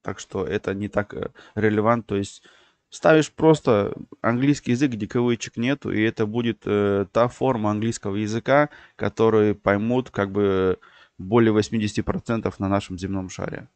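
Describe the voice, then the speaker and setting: A man's voice: fairly low pitch, moderate pace, darkish tone, no echo. A man hosting a livestream, explaining things in a rambling way full of fillers. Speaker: stream host. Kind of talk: livestream chat.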